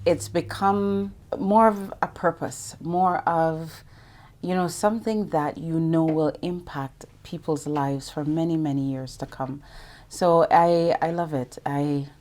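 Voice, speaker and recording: A woman's voice: sits low, darkish tone. A middle-aged woman from Jamaica, a banking executive, talking reflectively as an interview guest and laughing often. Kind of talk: interview conversation.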